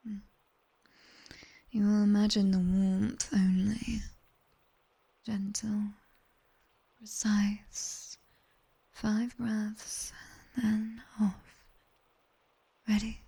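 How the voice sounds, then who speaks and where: A British demoness tutor, acted by a woman, whispers very slowly in a breathy, husky hush. Her tone is soft and dark, with a faint crackle of fry. She is sharp-tongued and quietly commanding, giving clipped ASMR sleep instructions close to the mic. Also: mid-range voice, little pitch movement, exaggerated voice. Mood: calm, instructing. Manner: slow, clipped instructions with dry, teasing praise. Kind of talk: ASMR roleplay, guided sleep session. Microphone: close binaural microphone.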